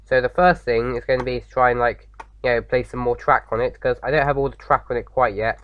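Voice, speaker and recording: A man with a slight sore throat, slightly croaky, chatting casually as he hosts a game playthrough, rambling with lots of fillers. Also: medium pitch, fast, dull audio. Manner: rambling, many fillers and restarts. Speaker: gaming video host. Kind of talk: casual let's-play commentary.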